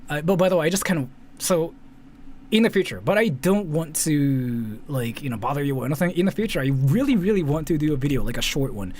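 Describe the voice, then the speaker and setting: Lively japanese guy, native japanese speaker, rambling casual youtube commentary, voice swings up and down, some noise behind him. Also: fairly high pitch, fast talker. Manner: rambling, repeats himself, often checks with "right?".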